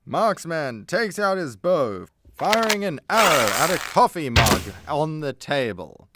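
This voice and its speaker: A man's voice: on the high side, big pitch swings, unhurried, heavy background noise. A man reading scripted narration for a radio play.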